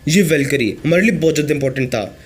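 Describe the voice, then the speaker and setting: A hoarse man reading the lines of an arrogant young prince who is shaken but scheming. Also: fairly high pitch, lively intonation, fast talker. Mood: indignant.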